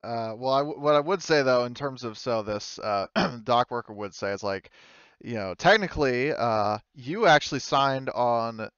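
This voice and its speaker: A man narrating a tabletop roleplaying game as game master, in a hesitant, halting way. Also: medium pitch, fairly bright tone, clean tone, no echo, highs cut off.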